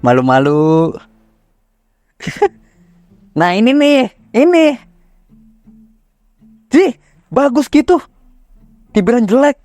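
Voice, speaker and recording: A man's voice: extremely high pitch, very animated, slow pace, long pauses, darkish tone, dry recording. Indonesian male streamer: dry close sound, casual teasing commentary, swears, faint music behind. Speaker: gaming streamer. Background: faint game music.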